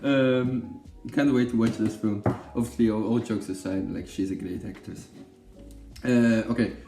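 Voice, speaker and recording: A man's voice: lowish voice, fairly even intonation, unhurried, mellow, echoey room, soft background music. A young Belgian man whose first language is Dutch, chatting casually about films with lots of fillers, restarts and jokes. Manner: rambling, many fillers and self-corrections, joking. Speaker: film vlogger.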